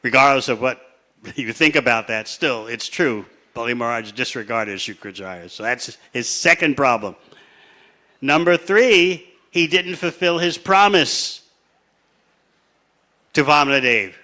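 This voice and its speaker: A man teaching a scripture class, telling the story in a measured way and laying out his points one by one. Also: mid-range voice, bright, forceful tone. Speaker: spiritual teacher.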